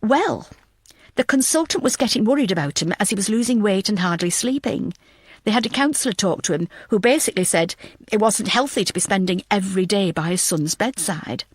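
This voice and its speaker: A woman's voice: mid-range voice, slightly rough, dry recording, studio quality. A woman voicing a keen, stern young female detective constable who reports earnestly, in an audiobook.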